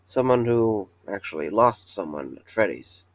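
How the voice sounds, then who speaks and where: A man's voice: lowish voice, lively intonation, normal speed, gravelly, no room sound. An actor playing a tired, earnest young man who wants to reopen a pizzeria, talking with hesitant fillers. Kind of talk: fan audio drama acting.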